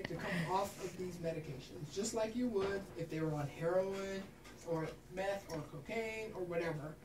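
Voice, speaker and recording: Woman doctor lecturing in an echoey room. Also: mid-range voice. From Kansas. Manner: frequent tag questions, laughs at herself. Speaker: pain management doctor. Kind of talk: informal talk to clinicians. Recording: roomy echo, distant mic, noticeable background noise.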